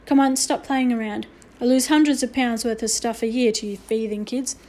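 A woman's voice: fairly high pitch, fairly even intonation, fairly quick, lightly acted. Woman acting a scolding, suspicious male shopkeeper. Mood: angry, scolding. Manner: heavy, forceful, scornful scolding. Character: angry, suspicious, middle-aged male shopkeeper, scolding and accusing.

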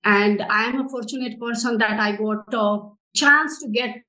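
A woman's voice: fairly high pitch, normal speed, bright, forceful tone, reverberant, low-quality recording. A middle-aged woman from Nepal with a Nepali accent and non-native English phrasing, giving earnest explanations as an interview guest. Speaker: interview guest, social enterprise director.

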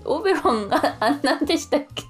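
A woman co-hosts a radio show in a high voice. She moves between casual chat and announcements, with music behind her. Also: lively intonation, fast talker.

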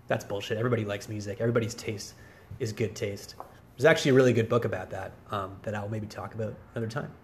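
A man's voice: on the low side, slight background noise. A man of about forty hosting a podcast in a rambling, casual solo monologue, with a wry, self-deprecating, digressive manner. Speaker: podcast host.

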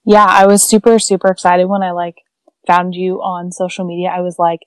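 A woman's voice: on the low side, little pitch movement, fairly quick, clear, resonant tone, no room sound. A woman from Northern California hosting a casual interview podcast, bubbly and full of "like"s. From Northern California / Northern Nevada. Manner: bubbly, says "like" a lot. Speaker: podcast host.

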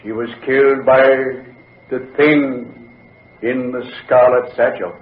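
An actor playing a vengeful old man risen from the grave, measured and coldly gloating, in 1940s radio horror style. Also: medium pitch, steady tone, natural voice. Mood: grim vengeance. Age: older. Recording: echoey, tinny vintage radio recording.

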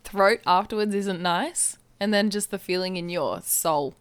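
A woman's voice: mid-range voice, unhurried, smooth, dry recording. Giggly woman co-host with a dry, close sound. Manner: giggly, agreeable. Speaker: podcast co-host. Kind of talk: casual chat.

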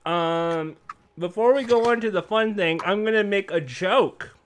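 A man co-hosting a podcast in casual comedic banter, telling jokes in a mock-serious, deadpan way. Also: high voice, measured pace. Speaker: podcast co-host.

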